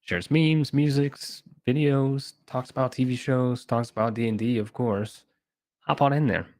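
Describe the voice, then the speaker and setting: Male co-host, friendly and laid-back, middle pitch, dry close sound. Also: steady tone, a little slow, darkish tone, no room sound. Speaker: podcast co-host. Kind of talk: casual chat about tabletop game rules.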